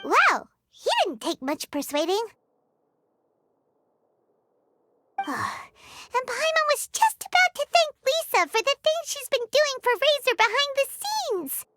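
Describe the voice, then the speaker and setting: A woman voicing a small, chatty, childlike fairy companion in a video game, excitable and easily exasperated. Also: extremely high pitch, unhurried, no room sound, exaggerated voice. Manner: childlike, refers to herself in third person. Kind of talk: game voice acting.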